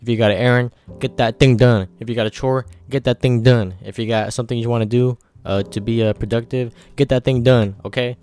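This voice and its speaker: A man's voice: mid-range voice, steady tone, fairly quick, hardly any pauses, a little mellow. A twenty-one-year-old man hosting a solo podcast, rambling casually with lots of swearing and pep-talk energy. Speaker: podcast host.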